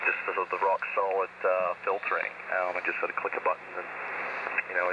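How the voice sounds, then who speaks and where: A man's voice: deep voice, dull audio. A man calling in over amateur radio, chatting in a polite, hesitant, conversational way through a shortwave signal. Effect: heard over shortwave radio. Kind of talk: casual ham radio chat. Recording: noisy, band-limited shortwave radio signal. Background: radio static.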